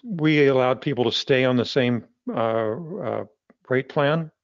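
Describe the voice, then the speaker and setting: A man's voice: mid-range voice, dull audio, noisy background. A man, a magazine publisher, talking casually in an interview, often restarting his sentences. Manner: halting, with frequent restarts.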